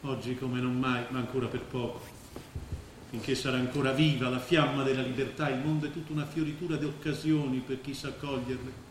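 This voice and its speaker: A male stage actor playing a grandiose, scheming businessman and former artist, declaiming with weighted pauses and cynical persuasion. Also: medium pitch, little pitch movement, close to an everyday voice. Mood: weary, confiding. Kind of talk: theatrical stage drama acting. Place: indoor stage of a theatre. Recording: very echoey theatre stage, faint noise.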